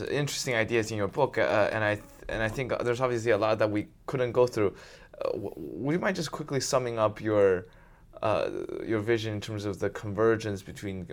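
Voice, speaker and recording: A man with a somewhat low voice hosts the interview. He frames his questions in a halting way, stumbling and restarting as he goes. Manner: halting, restarts and stammers while framing questions. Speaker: interviewer, host.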